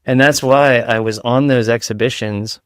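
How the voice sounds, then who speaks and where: A man with a light voice, a guest in a casual interview, talking in rapid-fire analogies with frequent restarts. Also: medium pitch, steady tone, fairly bright tone, clean tone, no echo, studio quality.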